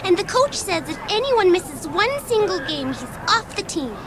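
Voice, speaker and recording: A woman's voice: very high voice, big pitch swings, normal speed, noisy background. A woman playing a bored, sulky young girl in a dramatised audiobook, with a theatrical, complaining delivery. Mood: resentful.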